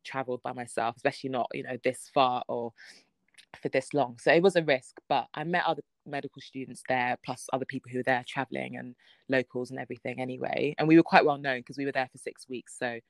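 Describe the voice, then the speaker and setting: A woman's voice: rumbling low, fairly even intonation, quick pace, no echo. A young woman guest telling travel stories in casual chat, laughing often. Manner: laughs often, chatty storytelling.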